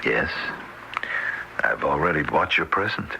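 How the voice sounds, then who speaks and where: An actor playing a weary, devoted older husband in an old-time radio drama, speaking in a subdued, halting way. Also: male voice, very deep voice, lively intonation, gravelly, noisy background, heavily acted. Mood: tender, anxious.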